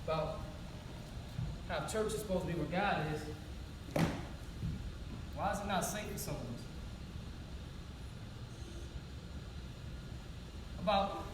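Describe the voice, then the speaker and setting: A woman's voice: medium pitch, close to an everyday voice. Actress as homeless young woman, very echoey stage monologue. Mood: troubled. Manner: conversational, plainspoken, profane, dramatic pauses. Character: homeless young woman, wounded, frank, streetwise, talking to God. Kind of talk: theatrical monologue in a one-act stage play. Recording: echoey hall, far-off mic, background noise.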